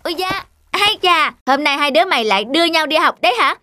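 A woman voicing a mocking schoolyard bully boy in an animated cartoon. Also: sits high, quick pace, no room sound. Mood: jeering. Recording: loud music behind.

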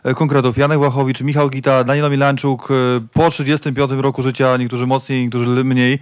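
A man's voice: mid-range voice, flat delivery, fast, dry recording, clean studio sound. A male journalist asking a long question, hesitant and searching for words. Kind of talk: interview question.

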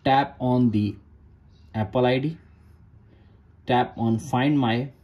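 A man's voice: medium pitch, steady tone, takes it slowly, lo-fi sound. A man narrating a phone tutorial in short, repeated step-by-step instructions in non-native English. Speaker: tech tutorial host.

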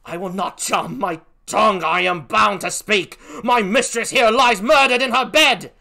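A performer voicing an outraged, loyal and grieving gentlewoman with a put-on British accent, in theatrical Shakespearean acting. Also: mid-range voice, a little slow, creaky, voice pushed up. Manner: declamatory, impassioned, theatrical. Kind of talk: classical Shakespearean stage acting.

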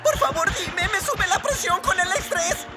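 Cartoon squirrel boy: very high, swooping, excitable and theatrical, over music. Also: moderate pace. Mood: frantic, elated. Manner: dramatic, exclamatory. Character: excitable young male cartoon squirrel, jealous and dramatic. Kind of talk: dubbed cartoon acting. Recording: music behind the voice.

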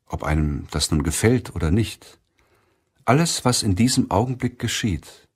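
A man narrating a self-help audiobook in a measured, deliberate way. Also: fairly low pitch, expressive, husky.

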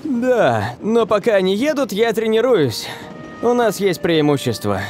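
A man's voice: sits high, sing-song, a little slow, music in the background. A man playing an earnest, determined young footballer hero in a cartoon dub. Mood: weary, earnest.

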